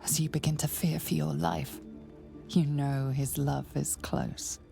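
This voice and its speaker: A woman playing the grave narrator of a dark fantasy game, solemn, measured and ominous. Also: sits low, little pitch movement, vocal fry, music in the background, full character voice.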